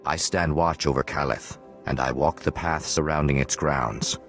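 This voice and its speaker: An actor playing a solemn, penitent hermit watchman in a video game, speaking in a measured, formal, philosophical way. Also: rumbling low, fairly even intonation, fairly bright tone, soft background music. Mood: calm, grave. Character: solemn male hermit Jedi watchman, calm, penitent, cryptic.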